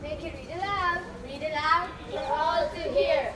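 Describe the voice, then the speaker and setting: A woman's voice: sits high, a little slow, far from the mic. A young woman on stage playing a cruel, taunting voice that mocks a schoolgirl, in amateur play style. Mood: mocking. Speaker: student actor in a school play. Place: echoey hall, distant microphone. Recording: echoey, noisy stage recording from afar.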